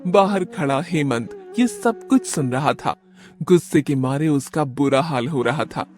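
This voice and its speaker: A male storyteller narrates a cartoon moral tale. His voice is fairly high and moves in a lively, animated way, with music playing clearly behind the scripted narration. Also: a little slow.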